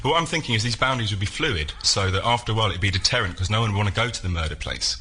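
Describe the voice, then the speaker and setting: A man pitching an idea on a comedy show in a deadpan, matter-of-fact manner. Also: very fast, husky. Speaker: member of the public pitching an idea. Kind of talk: reading a prepared pitch, then answering.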